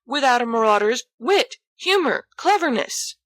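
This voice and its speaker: A woman's voice: a little high, big pitch swings, moderate pace, fairly bright tone, dry recording, studio quality, pitched above the performer's own voice. A woman reading a storybook as a cocky, flippant schoolboy prankster with an airy, dramatic manner. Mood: cocky, amused.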